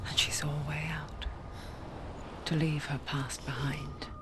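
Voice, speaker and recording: A woman playing an intimate, foreboding inner narrator in a video game, telling the tale deliberately in a breathy whisper. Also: deep voice, level tone. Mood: hushed, intimate. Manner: hushed, deliberate storytelling. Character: intimate, foreboding female narrator voice inside the heroine's head. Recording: clear background ambient noise.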